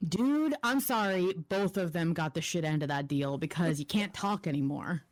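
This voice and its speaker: A woman's voice: fairly low pitch, expressive, normal speed. Breezy, slangy woman in casual chat. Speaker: podcast co-host.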